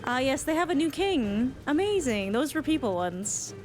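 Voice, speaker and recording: A woman with a fairly high voice talks casually as she streams a game. Her commentary is fond and gushing, with casual swearing. Soft music plays behind her. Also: very animated, moderate pace. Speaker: streamer reacting to game cutscenes.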